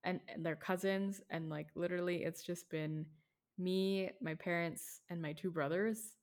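Woman host, low voice, easygoing, prompts the guest with gentle questions. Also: measured pace. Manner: relaxed, encouraging, many backchannels. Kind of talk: casual interview.

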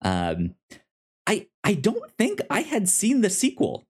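A man co-hosting a casual movie discussion, enthusiastic, with halting delivery and repeated, stammered sentence starts. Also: sits high, wildly swooping pitch, darkish tone, slightly rough. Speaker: podcast co-host.

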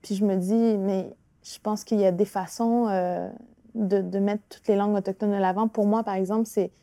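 A woman with a Quebec French accent whose first language is Innu, talking through her ideas slowly, with frequent restarts. Her voice is mellow and warm. The sound is dry and close. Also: medium pitch, steady tone, no room sound. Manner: thoughtful, hesitant, many restarts and "tu sais". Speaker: author and artist guest. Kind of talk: reflective conversational interview.

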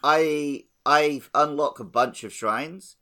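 A man's voice: on the high side, takes it slowly, pushed and bright. A man chatting casually about a video game as a podcast host.

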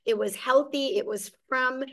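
Woman from Hungary, guest, easy storytelling, slightly high voice. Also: unhurried, fairly bright tone. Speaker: business owner guest. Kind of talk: casual interview. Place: indoor garden business.

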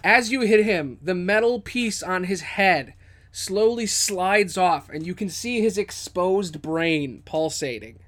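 Male game master, fairly high voice, dramatic descriptive narration for a tabletop adventure. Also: lively intonation, slow pace, fairly bright tone. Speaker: game master and podcast host.

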